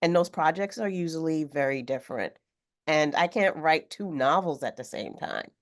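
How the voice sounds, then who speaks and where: A woman author speaking on a video call, a little hoarse from a cold, talking thoughtfully with some fillers. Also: low voice, expressive, normal speed. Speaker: author guest. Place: home room with sewing machine. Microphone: video call webcam.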